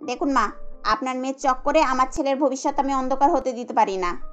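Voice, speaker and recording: A woman's voice: high voice, brisk, soft background music, close to an everyday voice. A woman voicing a scornful, domineering young housewife who scolds sarcastically in a cartoon. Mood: indignant. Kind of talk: Bengali cartoon dubbing.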